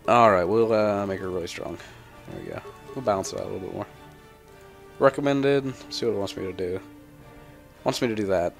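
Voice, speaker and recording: A man's voice: lowish voice, expressive, brisk, vocal fry, pauses often, soft background music. A young man giving casual gameplay commentary in a sweary, irreverent, rambling manner. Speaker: gamer, let's player.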